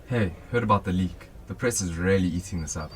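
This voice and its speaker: A man's voice: low-pitched, fairly quick, lightly acted. A young man playing a guarded, dry civilian helping police in a radio drama. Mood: casual. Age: young adult.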